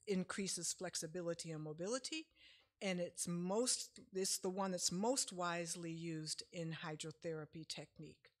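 A middle-aged woman giving a casual health seminar at a church lectern, explaining in a chatty way with frequent fillers, picked up from a distance. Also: lowish voice. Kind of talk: casual lecture with slides. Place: church sanctuary, speaking at a lectern.

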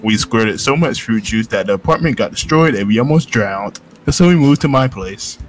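A man's voice: medium pitch, expressive, normal speed, faint music behind. A man narrating a visual novel playthrough aloud in an amused, mock-dramatic way.

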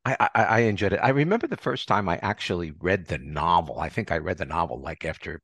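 A man hosting an arts podcast, talking in a halting, rambling way and circling back over his phrases. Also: low-pitched, expressive, a bit bright, slightly rough, dry recording. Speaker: podcast host and interviewer. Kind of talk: conversational arts interview.

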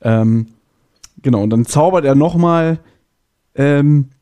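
A man with a fairly high yet dark, mellow voice. He speaks in a casual, conversational style as a podcast guest. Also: slow pace, clear, resonant tone. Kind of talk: casual chat.

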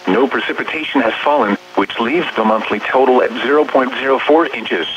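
Synthetic man, weather radio announcer, measured impersonal readout, dull lo-fi reception, steady noise and hum. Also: medium pitch. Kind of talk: automated weather bulletin readout.

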